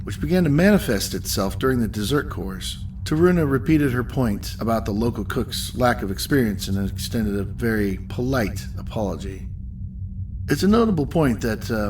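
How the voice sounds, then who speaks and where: A starship captain played by a man in a sci-fi audio drama, measured and articulate, with an ordinary mid-range voice. He is stern and weary, and there is faint ambient noise behind him. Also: mid-range voice, close to an everyday voice. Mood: reflective, weary. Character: stern, weary male starship captain, dryly self-doubting. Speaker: actor playing a captain. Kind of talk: science fiction audio drama acting.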